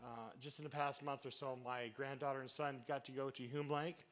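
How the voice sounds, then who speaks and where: A man in his sixties stands to share a personal story with the room. His voice is bright and forceful, his talk earnest and halting. A faint hum lies underneath. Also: medium pitch, level tone. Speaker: council member. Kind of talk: personal testimony.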